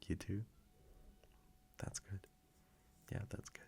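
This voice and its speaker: A man playing a gentle, affectionate boyfriend in an intimate audio roleplay, speaking softly and reassuringly with a low, gravelly, sometimes breathy voice. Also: in a whisper, close to an everyday voice. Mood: tender, reflective. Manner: soft, slow, reassuring, intimate.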